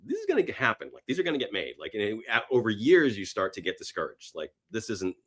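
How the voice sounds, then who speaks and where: A man's voice: lowish voice, huge pitch swings, fast talker, fairly bright tone. A man being interviewed, telling stories casually in a rambling way and acting out imagined bits of dialogue. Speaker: podcast guest, screenwriter.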